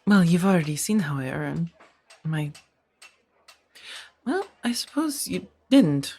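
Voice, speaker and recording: Woman acting as kind conflicted ex warrior monk farm lady, asmr roleplay, soft n breathy, bit creaky, halting. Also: on the low side, big pitch swings, heavily acted. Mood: gentle, shy. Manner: hesitant, soft-spoken, earnest. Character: gentle former Jedi woman turned farmer, kind, conflicted.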